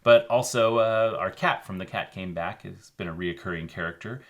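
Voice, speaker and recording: A man's voice: deep voice, normal speed, a bit bright. A man co-hosting a children's show, casually explaining how things are made, with many fillers. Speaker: co-host.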